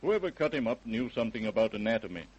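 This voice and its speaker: A man playing an unhurried American police doctor in an old-time radio crime drama. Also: fairly low pitch, big pitch swings, a little mellow, slightly rough, dull audio. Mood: matter-of-fact.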